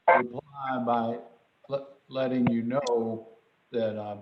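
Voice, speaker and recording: A man chairing a meeting as mayor, answering in a halting, deliberate way on an echoey conference call. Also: mid-range voice, muffled recording, background noise. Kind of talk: replying and moderating in a meeting.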